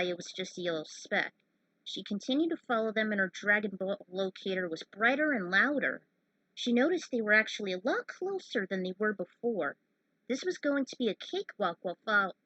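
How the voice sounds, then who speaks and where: A woman's voice: medium pitch, sharp tone, dry recording, far from the mic, highs cut off. A woman reading story narration, with casual, grumbling asides. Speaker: narrator reading own fan fiction over game cutscenes.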